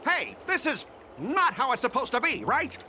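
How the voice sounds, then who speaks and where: A man's voice: very high voice, wildly swooping pitch, normal speed, sharp tone, a little hiss or hum, heavily acted. A man voicing a rude, self-important complaining customer in an anime-style game, whiny and sarcastic, with music behind. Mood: indignant.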